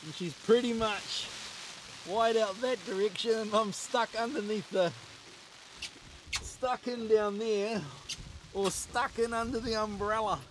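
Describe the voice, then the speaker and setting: A man's voice: sits high, steady tone, unhurried, noisy background. A man in his forties with a New Zealand accent, chatting cheerfully to camera while fishing from a small boat outdoors, laughing often. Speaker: fishing vlogger. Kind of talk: casual vlog chat to camera. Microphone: handheld camera at arm's length.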